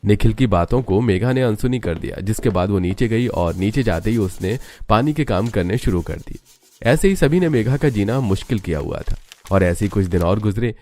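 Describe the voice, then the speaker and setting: A man's voice: on the low side, expressive, normal speed, dark tone. A man reading scripted narration for a family drama story.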